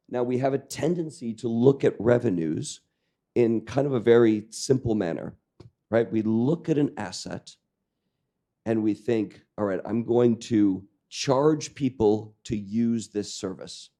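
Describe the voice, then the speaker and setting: A man with a croaky voice giving a lecture to a training class, joking and questioning the audience while clearing his throat. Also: medium pitch, mellow. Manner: jokey, engaging the audience with questions. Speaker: lecturer on public-private partnerships. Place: lecture room with live audience.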